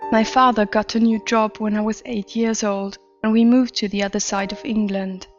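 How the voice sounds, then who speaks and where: A woman playing a troubled adult narrator confessing a twisted, attention-starved childhood in a deliberate horror story reading. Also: medium pitch, dull audio, natural voice. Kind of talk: scripted first-person horror story narration. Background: background music.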